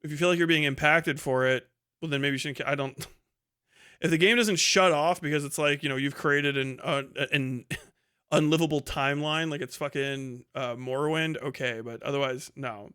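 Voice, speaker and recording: A man's voice: on the high side, steady tone, normal speed, bright, forceful tone, clean tone. A man giving casual, rambling livestream commentary, talking bluntly with lots of swearing and slang. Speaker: livestream host reacting to a video.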